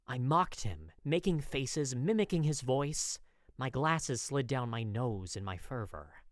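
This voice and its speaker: A man reads a story as a first-person journal, in an expressive, theatrical manner. His pitch moves around a lot, and he takes his time in a dry, close recording. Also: unhurried, no room sound. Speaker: voice actor narrating fan fiction.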